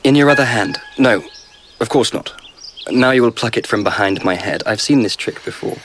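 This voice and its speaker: An actor playing a curious, easily delighted emperor in a radio drama, genial but commanding. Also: male voice, medium pitch, normal speed, close to an everyday voice.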